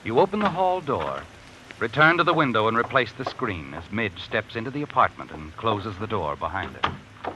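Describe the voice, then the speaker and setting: An actor playing an eerie, all-knowing narrator in a 1950s radio suspense drama, telling the story ominously in the second person. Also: male voice, lowish voice, big pitch swings, normal speed, pushed and bright, slight background noise, poor audio. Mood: ominous, quiet. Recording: vintage radio recording, rain and music behind.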